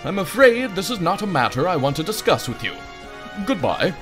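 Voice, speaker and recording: A man voicing a gruff, dismissive old male ship captain for a cartoonish adventure game. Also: sits high, very animated, normal speed, over music, voice pushed up.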